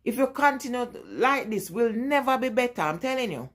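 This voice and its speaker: A woman's voice: on the high side, expressive, moderate pace. A woman in her forties from Jamaica, with a Jamaican accent, chatting casually with live-stream viewers and repeating her points with emphasis. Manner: emphatic and repetitive, talking straight to viewers. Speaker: vlogger.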